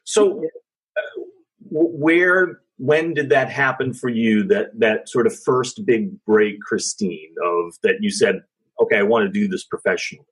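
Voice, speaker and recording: Male interviewer, lowish husky voice, encouraging. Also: measured pace, poor audio. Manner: encouraging, warm affirmations. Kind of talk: casual interview conversation.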